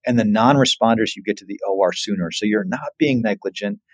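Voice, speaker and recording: Low man explaining, close dry sound. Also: a little fast, dry recording. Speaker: surgeon, guest expert. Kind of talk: explanatory interview answer.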